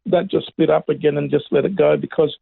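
A middle-aged man, a native Greek speaker, giving earnest testimony as an interview guest, with a raspy voice and emphatic, preacher-like phrasing. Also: a little high, steady tone, a little fast, no room sound. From Australia. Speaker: interview guest, pastor and evangelist. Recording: narrow phone-like band.

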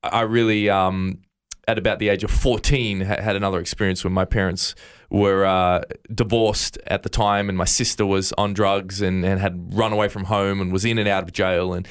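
A man's voice: low-pitched, little pitch movement, moderate pace, clean tone. Earnest Australian man telling his story. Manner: earnest, frequent stammered restarts. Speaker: guest. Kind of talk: interview, personal testimony.

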